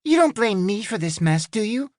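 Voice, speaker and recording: A brash, teasing girl spy of nearly thirteen , put on by an audiobook narrator. The voice sits higher and bounces lively in pitch, recorded dry and close. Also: normal speed, no echo. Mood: cocky.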